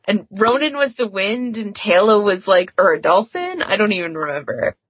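Husky low-voiced woman just chatting on a podcast, kinda muffled audio, says like a lot. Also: very animated, normal speed, no echo. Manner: informal, chatty, frequent "like". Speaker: podcast co-host discussing fan fiction. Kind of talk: casual chat.

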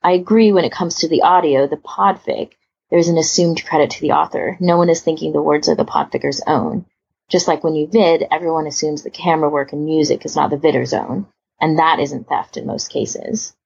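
A woman's voice: low voice, fairly even intonation, brisk, gravelly, darkish tone, husky, dull audio. A woman co-hosting a podcast in casual discussion, with a conversational, hedging, agreeable manner. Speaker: podcast co-host. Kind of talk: casual discussion, reading out listener comments.

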